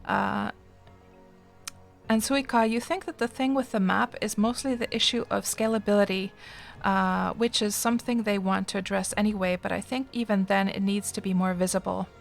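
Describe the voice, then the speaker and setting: A woman's voice: medium pitch, fairly even intonation, moderate pace, vocal fry, faint music behind. A middle-aged woman, chatting casually and expressively with viewers as a live streamer.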